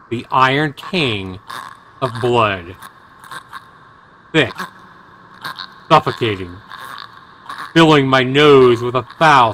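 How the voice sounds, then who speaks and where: A man's voice: mid-range voice, sharp tone, slight background noise, low-quality recording. A man livestreaming a game, mixing commentary with dramatic reading aloud as host. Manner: profane, exclamatory reactions.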